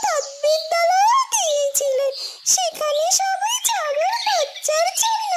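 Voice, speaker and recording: A woman's voice: very high voice, unhurried, voice pushed up. A woman voicing a cheerful, teasing little talking bird in a fairytale cartoon.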